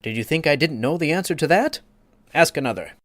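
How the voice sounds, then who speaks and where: A man's voice: fairly high pitch, lively intonation, a bit bright, no echo, studio quality, voice pushed up. A man voicing a pompous, easily offended egg creature who lectures and takes offense. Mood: haughty. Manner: haughty, quick to take offense, lecturing.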